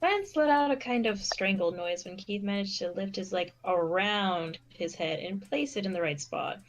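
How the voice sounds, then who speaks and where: A woman's voice: mid-range voice, normal speed, slight background noise. A woman reading a story aloud as narrator, now and then stumbling and repeating a phrase. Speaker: fan fiction reader and narrator.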